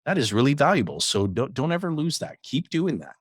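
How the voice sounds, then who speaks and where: A man's voice: mid-range voice, very animated, a little fast, husky, dry recording, studio quality. A man co-hosting a podcast, upbeat and encouraging as he plugs the guest's site. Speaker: podcast co-host. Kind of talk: casual chat and show promotion.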